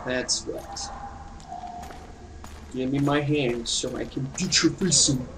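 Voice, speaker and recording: A man's voice: mid-range voice, fairly even intonation, slow pace, noisy background. A man giving casual, informal let's play commentary with reactive asides. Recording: slightly distant mic, game music behind.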